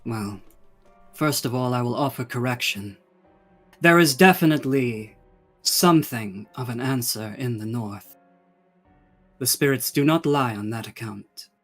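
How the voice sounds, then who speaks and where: A man's voice: medium pitch, measured pace, pauses often, a bit bright, soft background music. A man playing a formal, solemn male seer giving counsel in a tabletop game, with stately phrasing. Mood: solemn, assured.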